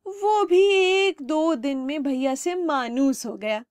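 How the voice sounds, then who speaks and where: High-voiced woman telling a children's story. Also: darkish tone, no room sound, studio quality. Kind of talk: expressive children's story narration.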